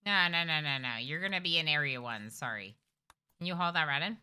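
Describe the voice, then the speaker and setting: A woman streaming a game live, chatty and excitable, talking to her characters as she plays at home. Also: low-pitched, a little fast, smooth. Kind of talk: live gameplay commentary.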